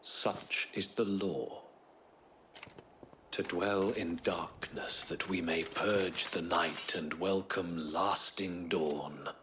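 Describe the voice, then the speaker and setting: A man's voice: deep voice, fairly even intonation, drawn-out words, fairly bright tone, a little hiss or hum, exaggerated voice. A man playing a cold, zealous knight commander in a fantasy video game, intoning judgement in a solemn, ceremonial way. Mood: solemn, menacing.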